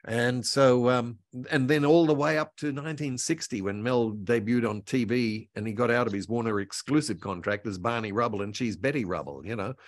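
An older man reminiscing casually as a guest, in a halting, meandering, enthusiastic way. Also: medium pitch, no room sound, studio quality. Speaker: guest voice actor and author. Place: indoor room at home, on a video call. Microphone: video call.

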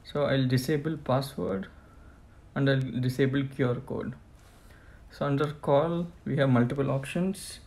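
Male tutorial presenter, gravelly mid-pitched voice, plain step-by-step product walkthrough. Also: measured pace, darkish tone. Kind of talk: hands-on product setup walkthrough.

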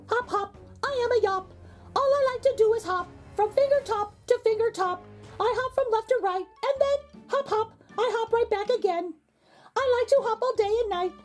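A tiny, excitable hopping creature from a children's storybook. The voice is very high and bounces along in a sing-song way over music. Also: female voice. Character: small hyper creature that loves hopping. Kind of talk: children's storybook read-aloud.

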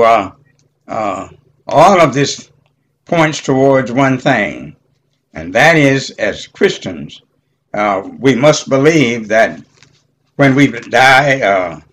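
An elderly man teaching Bible study in a halting, deliberate way, punctuated with amens. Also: mid-range voice, a bit bright. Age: 70s or older. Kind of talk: informal Bible teaching with scripture reading.

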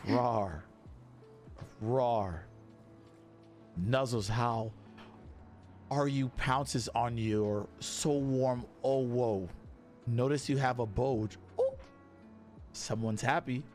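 Man putting on a cutesy, drawn-out nuzzling flirt. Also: medium pitch, darkish tone, faint music behind. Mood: cutesy, embarrassed.

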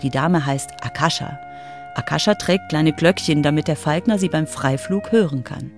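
A woman's voice: low-pitched, moderate pace. A woman narrating a scripted children's radio feature as its reporter. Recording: music under the voice.

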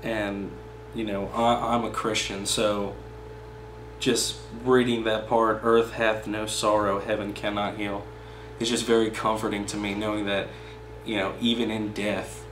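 A young man speaks slowly in a mid-pitched voice that barely rises or falls. His delivery is plain and unhurried. The small room adds a noticeable echo, and he sits a few feet from the camera's microphone. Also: little pitch movement, fairly bright tone. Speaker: poetry reader. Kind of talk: poem reading, then informal personal commentary. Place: small indoor meeting room, seated at table. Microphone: camera mic a few feet away. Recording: roomy echo with faint background hum.

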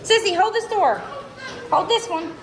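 A woman's voice: very high-pitched, animated, unhurried, some chatter behind, a little hiss or hum, poor audio. A young woman vlogging casually with her kids, chatty and laughing often, filming on a phone held at arm's length. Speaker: vlogger mom. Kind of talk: casual vlog chat. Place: car, parking lot and mall play area. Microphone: phone camera held at arm's length.

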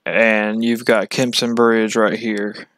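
A man talks through a casual walkthrough at a very slow pace, stopping often between short phrases. His voice is smooth and clear, recorded close and very dry. The delivery is plain and matter-of-fact, like a hobby channel host explaining something on screen. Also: on the low side, level tone, a bit bright, no echo, rough recording.

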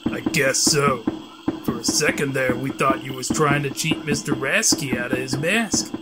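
A man's voice: mid-range voice, moderate pace, over loud music, close to an everyday voice. A man playing an uneasy mobster in a comic book dub. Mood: menacing. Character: anxious, pleading male crime boss and mob henchmen.

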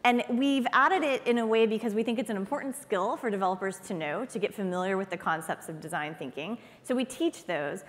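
A woman's voice: medium pitch, a little fast, few pauses. Upbeat woman presenter, keynote stage, long hall reverb. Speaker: conference presenter. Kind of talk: keynote speech. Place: large conference hall stage.

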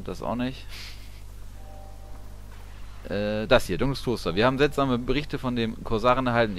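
A man giving casual, slangy live commentary while gaming. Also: on the low side. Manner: colloquial, slangy. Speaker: gaming streamer. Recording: clear background game noise.

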